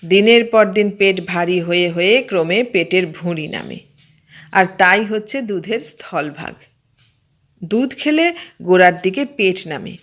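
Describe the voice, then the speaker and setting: A woman narrating a humorous story in an expressive reading style. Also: fairly low pitch. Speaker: audiobook narrator.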